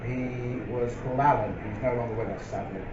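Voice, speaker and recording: A middle-aged man, telling stories in a live on-stage interview, measured and full of ums and restarts. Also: mid-range voice, fairly even intonation. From England. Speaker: interviewee, company founder. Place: event hall with a live audience. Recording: roomy, dull live hall recording with noise.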